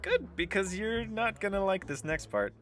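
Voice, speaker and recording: An actor playing an excitable, self-satisfied member of a biker crew in an audio drama. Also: medium pitch, moderate pace, soft background music, lightly acted. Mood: checking in. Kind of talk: animated audio drama acting.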